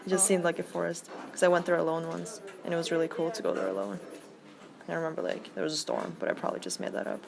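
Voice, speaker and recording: A woman giving a casual, musing interview answer, with soft phrases that trail off. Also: on the low side, quick pace, gravelly, a crowd in the background. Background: music.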